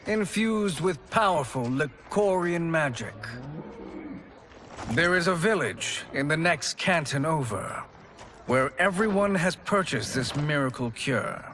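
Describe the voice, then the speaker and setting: A man's voice: fairly high pitch, fairly bright tone, a little hiss or hum, exaggerated voice. An actor playing a slick old huckster sorcerer with grandiose, unctuous salesman patter in a video game cutscene. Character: slick kindly-seeming old huckster sorcerer peddling cure-alls. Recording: music behind the voice.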